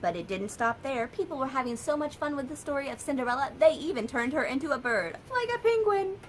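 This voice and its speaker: An American woman, a children's librarian, telling a story and sharing books for kids in a lively, sing-song way. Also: high voice, a little fast, slight background noise.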